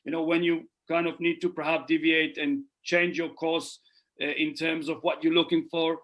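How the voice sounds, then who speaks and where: A man's voice: on the high side, flat delivery, normal speed, a bit bright. A middle-aged man from Israel, speaking earnestly as a podcast guest and often repeating phrases as he thinks. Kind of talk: casual podcast conversation.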